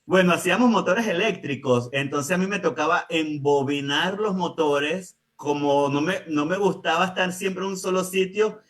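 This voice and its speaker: A man's voice: a little high, moderate pace, hardly any pauses. A man. He sits a bit back from the microphone, so he sounds distant. He talks steadily and often agrees with a firm "así es". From Venezuela. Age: late 40s. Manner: calm, affirming, often says "así es". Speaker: guest, business owner. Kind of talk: conversational interview storytelling.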